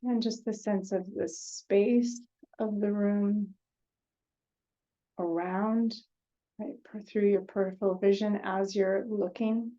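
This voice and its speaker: A woman guides a meditation at a very slow pace, with long pauses between short phrases. Her voice is relaxed and mellow, and her manner is gentle. The recording sounds slightly dull and muffled. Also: mid-range voice.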